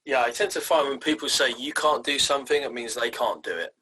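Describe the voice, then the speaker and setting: A man hosting a career interview, chatting in an animated, jokey way. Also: medium pitch, fairly quick, husky. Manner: animated, jokey, builds up examples. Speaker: host, career coach. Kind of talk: casual interview chat.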